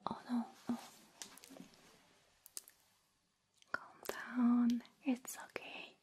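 A woman who is a non-native English speaker, playing a gentle, caring alien visitor in a breathy, soothing whispered ASMR roleplay. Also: fairly high pitch, monotone. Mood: encouraging. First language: not English. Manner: slow, soothing, reassuring, with soft giggles. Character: gentle, caring female alien visitor coaxing a human along. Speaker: ASMR creator.

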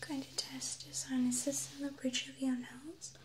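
A woman whispering gently and reassuringly, unhurried and breathy, as a medical examiner in an ASMR roleplay on a close binaural microphone. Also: high voice, flat delivery. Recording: faint background noise, close-miked whisper.

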